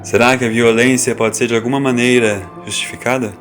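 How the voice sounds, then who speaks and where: A man acting as the solemn narrator of a science-fiction audio drama, measured and portentous. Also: mid-range voice, flat delivery, lightly acted. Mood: grave, questioning. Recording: voice over background music. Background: music.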